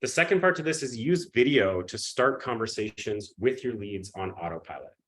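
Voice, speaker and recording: Man, fairly low voice, sick and sniffly, energetic webinar presenter, keeps asking "okay?". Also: normal speed. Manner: energetic pitch, often checks in with "okay?" and "right?".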